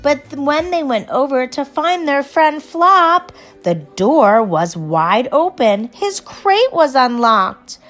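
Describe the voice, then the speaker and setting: A woman reading a rhyming children's storybook aloud in an expressive, unhurried storytelling manner. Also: high-pitched. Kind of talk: storybook read-aloud in rhyme.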